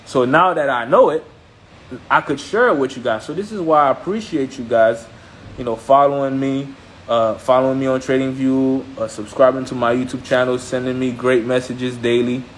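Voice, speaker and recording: A man's voice: mid-range voice, slight background noise, poor audio. A man from Haiti speaking as a trading mentor on a live stream, blunt and emphatic, repeating points and checking in with listeners. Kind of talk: motivational live-stream talk.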